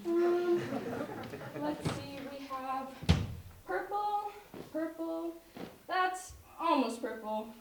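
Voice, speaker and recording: A young actress plays a scatterbrained, sentimental chatterbox of a woman on stage. She is excitable yet hesitant, taking her time over her words. The sound is echoey and picked up from far away. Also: very high voice, drawn-out words, pauses often, noisy background, exaggerated voice. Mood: flustered. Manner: excitable, hesitant, talks to herself. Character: flustered, chatty woman cluttered with sentimental old clothes. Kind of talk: one-act stage comedy acting.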